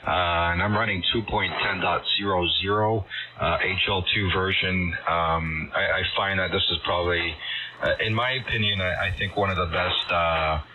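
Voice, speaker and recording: A man chatting casually as a ham radio operator, friendly and technical, with a halting delivery. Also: sits low, fairly even intonation. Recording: dull radio signal under loud static.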